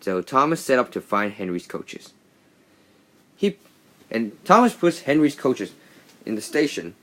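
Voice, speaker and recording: Male read-along narrator for a children's storybook; animated pitch swings, mid-range voice, stumbling over the text. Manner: halting, stumbling reading with slips. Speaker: storybook reader.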